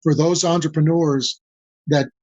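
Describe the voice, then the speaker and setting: Older man, grew up in Mexico, Spanish native speaker, husky voice, dull dry audio. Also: on the high side, steady tone, darkish tone, dry recording. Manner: measured, repeats key phrases for emphasis. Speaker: guest, senior advisor. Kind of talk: podcast interview.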